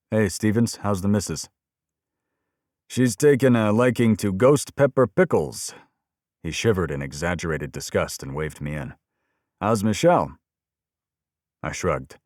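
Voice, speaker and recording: A man's voice: very deep voice, no echo, clean studio sound. A man reading scripted first-person crime fiction as an audiobook narrator, telling it as a burned-out detective.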